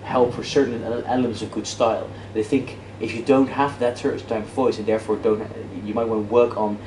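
A Dutch-native man in his thirties with a lowish voice lectures a room of students in a loose, self-deprecating way, stumbling and restarting often. He is caught by a camera across an echoey classroom. There is clear hiss and room noise behind him. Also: little pitch movement, fairly quick. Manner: casual, self-deprecating, many restarts and fillers; walks and gestures while talking. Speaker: debate workshop lecturer. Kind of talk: interactive lecture. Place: classroom with projector screen, indoors. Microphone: far camera. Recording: echoey classroom from far off, noisy.

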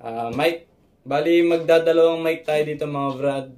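A man's voice: on the high side, steady tone, normal speed, darkish tone. A young man giving a casual vlog tutorial, explaining informally with some hesitation. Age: young adult. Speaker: motovlogger and host. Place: small concrete-walled room with computer setup. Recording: noisy room recording.

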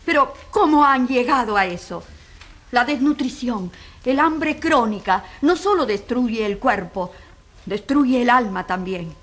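An actress playing an earnest, impassioned advocate addressing a jury with emphatic rhetorical questions in a radio courtroom drama. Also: female voice, on the high side, lively intonation, measured pace, a bit bright.

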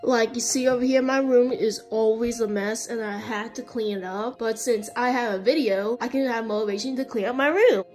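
A young woman with a fairly high voice records a casual diary vlog in a bedroom. She rambles in a halting way, leaning on "like" between thoughts. Faint music sits behind her voice. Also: a little mellow. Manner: rambling, halting, filler-heavy with frequent "like". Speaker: vlogger. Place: small bedroom, indoors.

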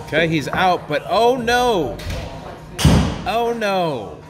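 A man's voice: high voice, very animated, takes it slowly, over loud music. A man in his thirties co-hosting a golf vlog, giving playful commentary with mock sportscaster asides in an indoor golf simulator bay.

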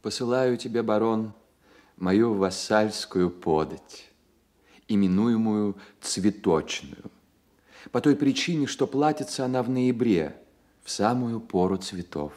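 An actor playing a playful, courtly young poet, speaking in measured mock-solemn phrases in a Soviet radio play. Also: male voice, on the low side, lively intonation, a little mellow. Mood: playfully solemn. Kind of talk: Soviet radio play, letter read in character.

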